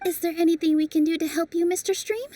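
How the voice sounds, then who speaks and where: An actress playing a gentle, encouraging young woman who is a dancer. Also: very high-pitched, quick pace.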